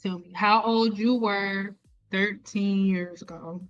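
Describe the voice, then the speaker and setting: A woman's voice: medium pitch, fairly even intonation, slow, highs cut off, soft background music. A woman in her thirties lecturing viewers on a live stream as an educator, emphatic and repeating phrases to drive them home. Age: 30s. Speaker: host, hair care educator.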